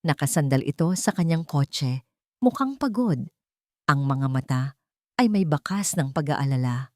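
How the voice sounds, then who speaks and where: A woman narrating a scripted romantic drama in an emotive, deliberate way, phrase by phrase. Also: low voice, lively intonation, dark tone, dry recording, studio quality. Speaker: storyteller, narrator.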